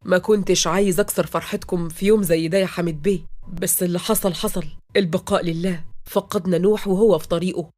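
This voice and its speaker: A woman's voice: fairly low pitch, steady tone, fast, darkish tone, close to an everyday voice. A woman with an Egyptian accent playing a solemn senior police general, formal and sombre. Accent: Egyptian Arabic.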